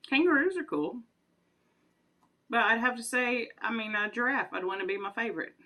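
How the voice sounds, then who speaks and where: A woman's voice: fairly high pitch, moderate pace, pauses often, sharp tone. A woman, chatting casually and rambling through would-you-rather questions with frequent giggles. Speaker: vlogger.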